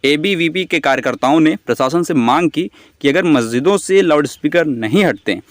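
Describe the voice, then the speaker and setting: A man's voice: a little high, lively intonation, moderate pace, no room sound. A man presenting an educational explainer, speaking didactically and restating terms in other words as he goes. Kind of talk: explanatory lecture on current affairs.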